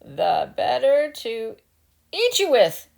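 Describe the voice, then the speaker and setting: Woman as slow, sly hungry wolf. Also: on the high side, huge pitch swings, gravelly, a little mellow, voice pushed up, full character voice. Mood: gleeful menace. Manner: coaxing, slow, menacing sweetness. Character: sly, hungry male wolf posing as grandmother. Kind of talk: dramatic fairy tale reading.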